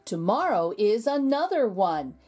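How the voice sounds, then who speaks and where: A woman reading a children's storybook aloud in a deliberate, rhythmic, expressive manner. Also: a little high. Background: background music.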